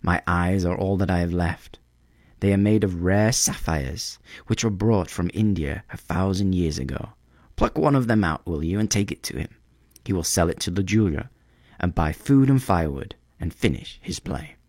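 A man voicing a gentle, sorrowful prince statue in a storybook reading, speaking tenderly and pleadingly. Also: low-pitched, animated, normal speed, no room sound, studio quality.

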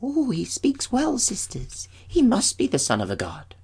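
A man putting on high, gushing young nymph sisters. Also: huge pitch swings, measured pace, vocal fry, darkish tone, husky, exaggerated voice. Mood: admiring, gossipy. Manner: gushing, repetitive pleading. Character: playful, eager young nymph sisters, female, admiring and amorous.

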